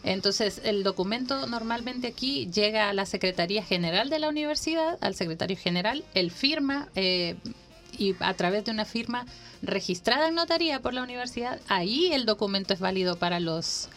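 A woman from Honduras, a university admissions coordinator, explaining the process in a measured way on a radio interview, with many fillers and restarts. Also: medium pitch, expressive, a bit bright, soft background music. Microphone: radio studio microphone.

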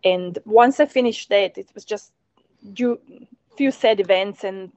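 A woman in her thirties from Croatia with a Croatian accent, a winemaker chatting in an interview, eager and halting with many ums. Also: mid-range voice, darkish tone, dry recording. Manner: hesitant, many ums, enthusiastic. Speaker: winemaker guest. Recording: dull, muffled sound.

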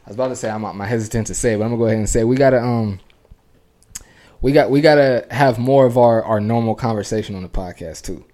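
A man co-hosting a casual podcast, telling rambling stories quickly with lots of fillers. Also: mid-range voice, warm tone. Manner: fast, rambling storytelling with many fillers. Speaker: podcast co-host. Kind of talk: casual chat. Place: home podcast studio.

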